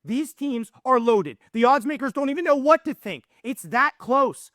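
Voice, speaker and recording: Hoarse high-voiced man hyping hockey. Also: moderate pace, no echo, studio quality. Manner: excited, emphatic. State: hoarse, losing his voice. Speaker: hockey channel host. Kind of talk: casual hockey commentary.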